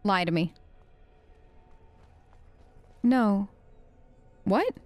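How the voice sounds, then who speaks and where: A woman's voice: medium pitch, animated, very slow, no room sound, faint music behind. A woman streamer giving casual, playful gameplay commentary and talking back to the game's characters. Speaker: let's play streamer. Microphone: close, dry mic.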